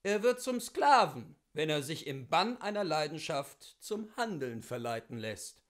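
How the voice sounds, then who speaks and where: A man reading classic literature aloud as a host, with deliberate, theatrical phrasing and weighty pauses. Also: a little high. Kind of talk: expressive literary reading, scripted narration.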